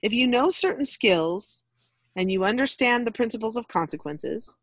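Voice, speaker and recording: A woman with a slightly high voice talks through life skills in a relaxed, instructive way. Her tone is earnest and patient, and she laughs easily between points. The sound is close and dry, with no room around it. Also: moderate pace, no echo. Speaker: podcast host, parenting teacher. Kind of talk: casual advice chat.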